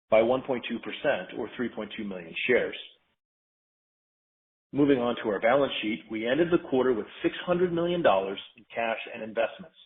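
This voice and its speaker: Male corporate presenter, businesslike scripted delivery, mid-pitched voice, thin telephone-band sound. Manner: formal, measured, businesslike. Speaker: company finance executive. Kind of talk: scripted earnings call presentation. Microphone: conference call line. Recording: narrow tinny phone-line sound.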